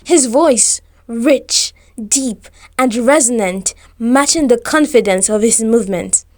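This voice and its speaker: High-voiced woman narrating a romantic story. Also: slow pace, darkish tone.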